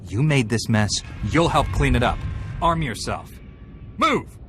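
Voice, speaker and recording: An actor playing a ruthless, self-righteous warrior knight in a video game, with a firm, commanding delivery. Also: male voice, mid-range voice, animated, a little slow, a bit bright. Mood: defiant, commanding. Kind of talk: video game hero acting. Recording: game music under the voice.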